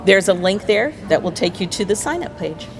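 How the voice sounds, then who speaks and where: A woman's voice: medium pitch, lively intonation, a little fast, soft background music. An American woman speaking as a guest in a casual interview about community work.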